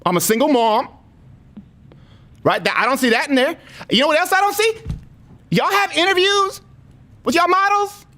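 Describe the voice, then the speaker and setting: A very high, sharp-edged voice an aggrieved gender non-conforming single mom on a prank complaint call. The speech is streetwise and emphatic, rambling along and circling back on its demands. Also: male voice, normal speed, pauses often. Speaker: prank caller. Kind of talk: improvised prank phone call.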